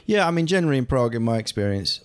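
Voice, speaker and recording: A man's voice: mid-range voice, very animated, very fast, smooth. A man in his forties, an actor from England whose first language is German, chatting casually as an interview guest with many fillers and restarts. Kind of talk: casual conversation.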